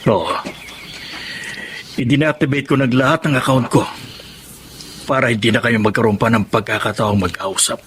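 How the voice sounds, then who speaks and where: A man's voice: mid-range voice, fairly even intonation, moderate pace, long pauses, fairly bright tone, a little hiss or hum, natural voice. A man playing a hurt but resolute married father in a Filipino radio drama, earnest and heavy-hearted. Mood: resigned, resolute. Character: wounded, proud married father, resigned and resolute.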